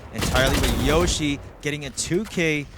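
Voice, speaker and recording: A man with a Filipino accent giving measured, explanatory live esports commentary with some Tagalog mixed in. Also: on the high side, heavy background noise. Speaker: esports shoutcaster.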